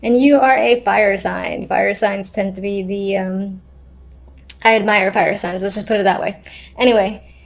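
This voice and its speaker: A woman's voice: medium pitch, fairly even intonation, unhurried, vocal fry. A woman giving a casual, unscripted tarot reading, thinking aloud conversationally with wry asides. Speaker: tarot card reader.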